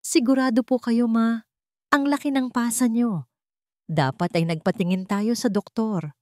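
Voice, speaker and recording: A woman with a Filipino accent voicing a gentle, worried adult son in a narrated drama story. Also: fairly high pitch, measured pace, no room sound, clean studio sound, pitched above the performer's own voice, close to an everyday voice. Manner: gentle, respectful. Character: worried, devoted adult son of a rich family.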